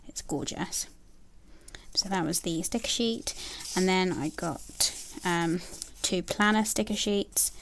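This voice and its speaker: A young woman chatting casually about planner stickers, soft and gushing, with many ums and likes. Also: fairly low pitch, takes it slowly, slight background noise. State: has a bad cold, nasal and throaty. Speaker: planner sticker haul vlogger. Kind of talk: casual haul chat. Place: indoors at a table, filming her hands.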